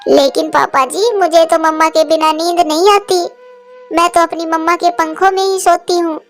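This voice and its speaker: Very high-pitched innocent little girl bird. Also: fairly even intonation, moderate pace, faint music behind. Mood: innocent, comforting. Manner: childlike, earnest, simple phrasing. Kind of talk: cartoon moral-story dialogue.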